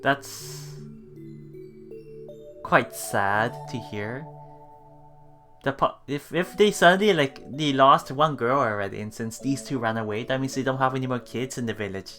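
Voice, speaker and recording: Let's play streamer, mid-range pitch, reading story text, murmured asides, music behind. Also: unhurried, a bit bright. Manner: rereads lines after stumbles, murmured reactions between read passages. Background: game music.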